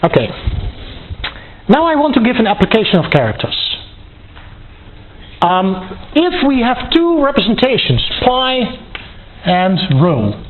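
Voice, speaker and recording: A man giving a university lecture, explaining step by step in a deliberate, halting way and often repeating and rephrasing his words. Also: sits high, huge pitch swings. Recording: tinny phone-like band, noise, clicks.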